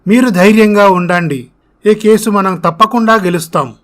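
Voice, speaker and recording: A man voicing a confident, reassuring lawyer in a story, measured and explanatory. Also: high voice, fairly bright tone.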